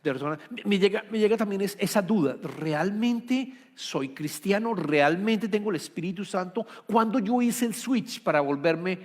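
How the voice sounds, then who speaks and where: A man's voice: sits high, animated, normal speed, hardly any pauses, a little mellow. A man preaching a sermon as pastor, earnest and building to emphatic repeated lines. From Colombia. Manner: earnest, rising to emphatic repetition. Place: church hall. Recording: long reverb of a church hall.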